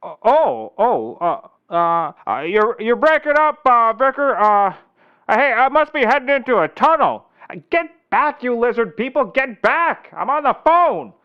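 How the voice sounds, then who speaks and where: A man's voice: very high-pitched, very animated, a little slow, clear, resonant tone, dull audio, heavily acted. A man playing a deluded, addled slacker detective in a comedy audio drama, rambling and stammering erratically. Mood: flustered, evasive.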